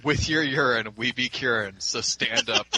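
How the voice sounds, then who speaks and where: A man's voice: mid-range voice, moderate pace, sharp tone, slightly rough, poor audio. A man chatting casually on a fan podcast, rambling with frequent restarts and laughs. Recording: faint background noise.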